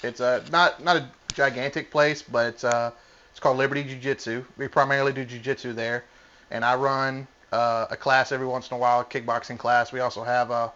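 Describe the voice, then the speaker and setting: A man, a gym coach answering interview questions in a plain-spoken, halting way. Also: medium pitch, level tone, a bit bright. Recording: muffled lo-fi audio.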